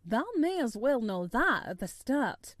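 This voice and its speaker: A woman's voice: fairly high pitch, very animated, a little slow, no echo. Woman as a humble housemaid. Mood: homely, puzzled. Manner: deferential, dialect-speaking. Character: humble, anxious young Yorkshire housemaid.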